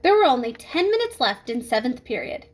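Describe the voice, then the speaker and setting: A woman reads a children's novel aloud. Her voice is high and very animated, giving the scripted narration plenty of expression. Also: a little fast. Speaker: storybook reader.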